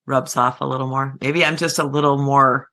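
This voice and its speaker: Co-host, woman in her fifties, deep and slow, bright edge, easy laugh. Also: fairly even intonation. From Upper Peninsula of Michigan. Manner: slow, laughs easily, short agreeing replies. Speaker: podcast co-host. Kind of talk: casual chat.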